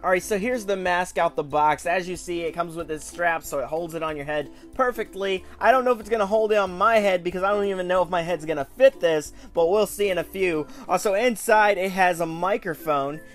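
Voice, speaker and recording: A man in his twenties with a noticeably high voice presents a toy review to camera. He talks casually and enthusiastically, like a chatty online show host, with soft music underneath. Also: brisk, hardly any pauses. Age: 20s. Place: small room at home.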